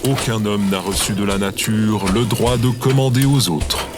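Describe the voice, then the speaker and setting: An actor voicing a solemn sage companion who declaims maxims in a French audio drama. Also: male voice, fairly low pitch, moderate pace, noisy background, lightly acted. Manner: sententious, declamatory.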